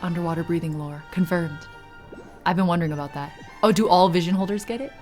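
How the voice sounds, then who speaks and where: A woman livestreamer giving playful, excitable, casual commentary on a game and chatting with her viewers. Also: on the low side, quick pace. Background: game music.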